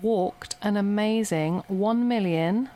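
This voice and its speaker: A woman's voice: mid-range voice, slow, dark tone. Chatty woman on hospital radio. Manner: chatty, laughs often, stumbles over numbers. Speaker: radio presenter. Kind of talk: casual radio chat. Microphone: studio mic with feedback.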